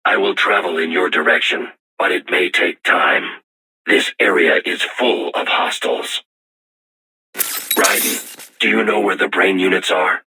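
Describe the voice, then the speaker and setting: A man voicing a solemn self-aware robot war machine in a video game, with formal, measured, stilted diction and robotic processing on the voice. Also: low voice, steady tone, sharp tone, breathy edge, exaggerated voice, low-quality recording. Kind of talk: scripted video game voice acting.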